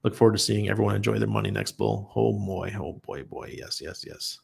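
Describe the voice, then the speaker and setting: Livestream host, male, low gravelly voice with some huskiness, blunt and chatty, laughs easily. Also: steady tone, fairly quick. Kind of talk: casual livestream chat, reading viewer comments.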